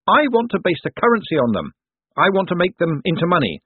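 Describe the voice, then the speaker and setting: A man voicing a brash, glib showman and con artist in an audiobook, with loud, cocky patter. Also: sits high, huge pitch swings, fairly quick, breathy edge, no echo, voice pushed up, heavily acted. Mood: rousing sales pitch. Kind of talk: audiobook character voice. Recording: thin phone-like narrow-band audio.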